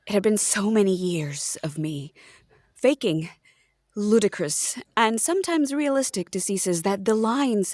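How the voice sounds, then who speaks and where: A woman playing an anxious, dramatic hypochondriac writer, halting and theatrical, in a comic hospital audio drama. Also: medium pitch, a little mellow, no echo, studio quality.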